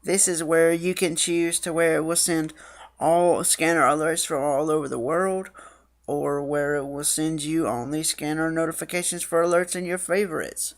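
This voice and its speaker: Casual female demonstrator, low-pitched, walking listeners through an app step by step. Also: fairly even intonation, normal speed. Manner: reads screen text aloud, then explains it. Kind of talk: casual tutorial walkthrough.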